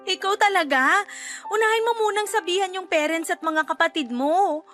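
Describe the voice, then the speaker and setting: A woman's voice: very high-pitched, normal speed, a bit bright, soft background music. An actress playing a young pregnant wife who resents her adopted child, in a radio drama. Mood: happy, teasing.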